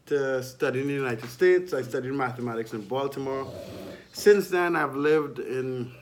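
A man's voice: mid-range voice, normal speed, slight background noise. A man, talking candidly and informally as an interview guest. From Trinidad and Tobago. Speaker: interview guest, online entrepreneur. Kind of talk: casual conversational storytelling.

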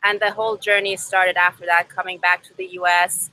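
A woman's voice: on the low side, little pitch movement, fairly quick, pushed and bright, no room sound, poor audio. A young woman with an Iranian accent, a native Farsi speaker, telling her own story conversationally as a podcast guest. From Iran. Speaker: podcast guest, startup co-founder. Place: outdoors by a river. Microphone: video call.

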